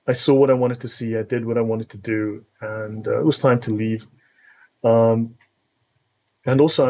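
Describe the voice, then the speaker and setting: A man's voice: medium pitch, darkish tone, highs cut off. A South African man speaking as an interview guest, explaining things in an academic, didactic way and checking that he is understood. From South Africa, born and raised in Cape Town. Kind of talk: explanatory live interview talk.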